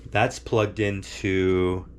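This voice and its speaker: A man's voice: low voice, flat delivery, drawn-out words, a little hiss or hum. A man with a cold and a nasal, stuffed-up voice, explaining a setup step by step like a casual tutorial. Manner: step-by-step explaining, often checks in with "okay?". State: congested with a cold. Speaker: hobbyist demonstrating a radio setup. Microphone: camera on a handheld tripod.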